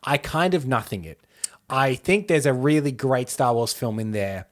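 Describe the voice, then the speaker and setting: A man co-hosting a podcast, chatting casually about films in a conversational, measured but opinionated way. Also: medium pitch, expressive. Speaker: podcast co-host. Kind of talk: casual film discussion.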